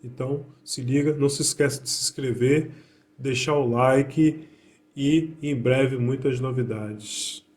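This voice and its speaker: Male host, mellow mid voice, direct intro to viewers. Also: medium pitch, steady tone, moderate pace. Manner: direct address to viewers, clear instructive. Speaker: channel host. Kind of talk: spoken intro to a video.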